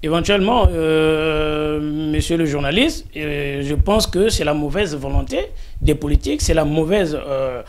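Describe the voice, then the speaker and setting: A man's voice: fairly high pitch, unhurried, a little hiss or hum. A man with a Congolese French accent giving formal, emphatic interview answers, repeating phrases for rhetorical effect. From Congo-Brazzaville. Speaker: interviewee, diaspora spokesman.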